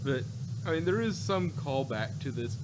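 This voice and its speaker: A man co-hosting a casual review podcast, talking in a halting, rambling way as he searches for words. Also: fairly high pitch, lively intonation. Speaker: podcast co-host. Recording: noisy recording with background hum.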